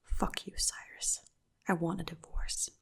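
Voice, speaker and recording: A woman's voice: unhurried, close to an everyday voice. A woman voicing a furious young woman nurse who accuses her husband, all in a whisper. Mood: angry whisper. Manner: whispered, angry snapping.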